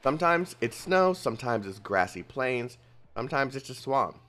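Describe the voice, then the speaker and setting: A man reading out a calm male squad leader's briefing on infiltration in his own plain voice, with lively pitch and faint music behind. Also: normal speed. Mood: instructive.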